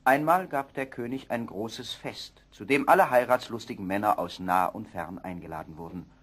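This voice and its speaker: Male storyteller, mid-pitched and husky, expressive scripted fairy-tale narration.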